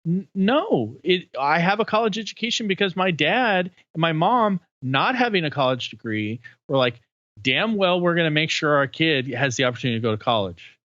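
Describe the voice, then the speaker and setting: Man talking haltingly on a dull, dry recording. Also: fairly high pitch, animated, a bit bright, no echo. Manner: halting and searching, many restarts and fillers. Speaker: podcast host. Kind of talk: candid podcast conversation.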